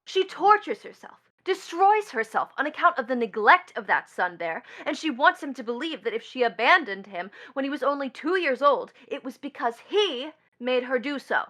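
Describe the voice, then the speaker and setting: Woman doing a scornful young stepdaughter in a stage play, high voice, really animated and taunting. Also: normal speed, hardly any pauses, full character voice. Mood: insistent, scornful. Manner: taunting, dramatic. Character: bitter, mocking, brazen young stepdaughter in mourning. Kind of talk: theatrical stage play acting.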